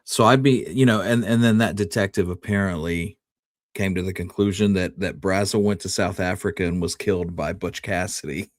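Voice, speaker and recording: A man with a fairly low voice chats as a podcast co-host. He is informal, wondering aloud and putting questions to his partner. The recording is close and dry. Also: moderate pace, dry recording. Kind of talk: casual chat.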